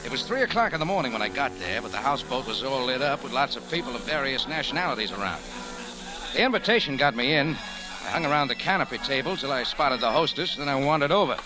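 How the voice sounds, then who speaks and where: Hard-boiled American male detective, played by an actor, sardonic, clipped lines with swinging pitch, vintage radio. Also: animated, fast, music in the background, natural voice, poor audio. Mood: narrating. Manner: laconic, sardonic. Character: wry, tough American private eye. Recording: hissy old-time radio recording.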